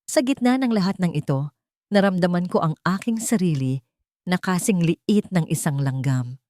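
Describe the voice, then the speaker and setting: Female story narrator, lowish register, solemn and measured, scripted first-person drama, dry studio-like sound. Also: expressive, dark tone, dry recording.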